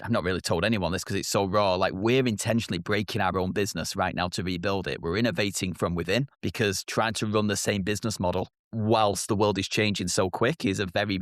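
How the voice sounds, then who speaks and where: A man's voice: fairly low pitch, fairly bright tone, dry recording, clean studio sound. A man with a British accent, a marketing agency co-founder, answering interview questions directly and confidently.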